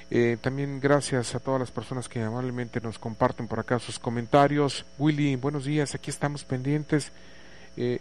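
A man's voice: on the low side, a little mellow, a little hiss or hum. A man with a Mexican Spanish accent hosting a morning radio show, chatting casually, reading listener messages and news with many fillers.

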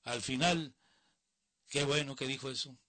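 A man's voice: mid-range voice, steady tone, a little slow, pushed and bright, dry recording. A man reading news items aloud and breaking in with mocking, opinionated asides. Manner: mocking, opinionated asides between read passages. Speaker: news commentator. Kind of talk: reading news with casual commentary.

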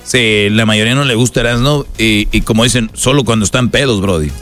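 A man's voice: on the low side, a little fast, a bit bright, faint music behind. A man with a Mexican accent co-hosting a show, explaining things in casual chat. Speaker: radio show co-host.

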